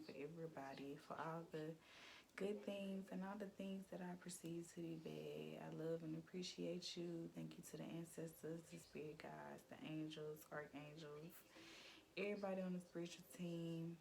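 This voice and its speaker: Young woman, low and slightly raspy, slangy and relaxed, recorded on a noisy phone mic. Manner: laid-back, rambling, slangy, laughs often. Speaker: tarot reader. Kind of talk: casual chat. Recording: noisy background hiss.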